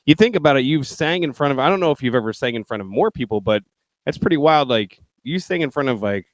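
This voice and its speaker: A man's voice: mid-range voice, animated, quick pace, dry recording. A man hosting a casual podcast, asking long wandering questions with plenty of fillers. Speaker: podcast host.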